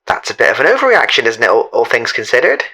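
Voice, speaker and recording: A man playing a rambling washed-up ex-doctor in a comic mock interview, halting and repeating himself. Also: mid-range voice, wildly swooping pitch, pushed and bright, breathy edge, full character voice. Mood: mildly reproachful. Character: rambling washed-up ex-doctor living on a park bench. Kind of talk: mock documentary interview, comic improvised monologue.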